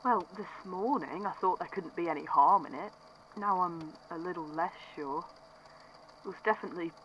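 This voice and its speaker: A man's voice: fairly high pitch, bright, forceful tone, dull audio, a little hiss or hum. An actor playing an uneasy young man who rambles about a strange encounter in a fan audio drama. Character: uneasy man, the statement giver again.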